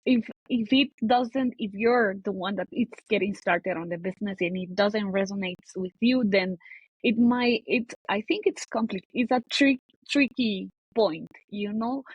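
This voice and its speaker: A woman's voice: mid-range voice, unhurried, husky, no room sound, dull audio. A woman, a guest on a podcast talking about content marketing, often restarting and cutting off words as she thinks. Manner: hesitant, frequent restarts and cut-off words. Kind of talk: casual interview conversation.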